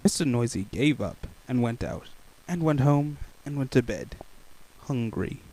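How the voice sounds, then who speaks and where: A man reading a children's picture book aloud in a playful, expressive way. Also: medium pitch, a little slow, rough recording. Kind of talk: animated storybook read-aloud.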